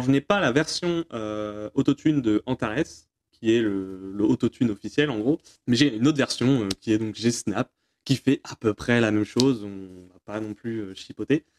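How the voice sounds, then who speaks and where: A man with an average-pitched voice presents a casual tutorial. He explains informally and hesitantly, with a self-deprecating streak, as if answering viewers' questions off the cuff.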